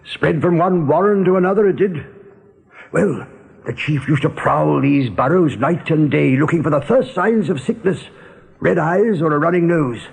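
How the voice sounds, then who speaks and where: An actor playing a gruff, worldly rabbit guard in a radio drama, telling things in a colloquial, dramatic, sardonic way. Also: male voice, fairly high pitch, normal speed, a little mellow, poor audio. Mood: grim relish. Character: gruff male rabbit guard, worldly, grimly relishing storyteller.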